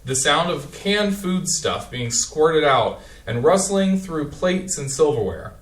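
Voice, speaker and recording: A man's voice: on the high side, lively intonation, takes it slowly. A man reading a play's stage directions aloud in a plain, matter-of-fact way. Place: theatre stage. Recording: echoey hall, distant from the mic.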